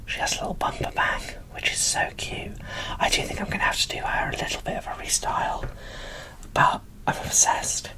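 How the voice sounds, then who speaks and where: A woman whispering casual, gushing ASMR commentary as she presents an unboxing, in a breathy, informal way. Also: brisk, pushed and bright, rough recording.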